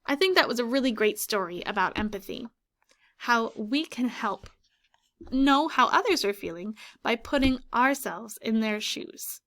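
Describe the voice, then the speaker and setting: Woman teacher, storybook read-aloud, questioning and prompting kids, fairly high voice, very expressive pitch. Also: measured pace. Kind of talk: children's picture-book read-aloud.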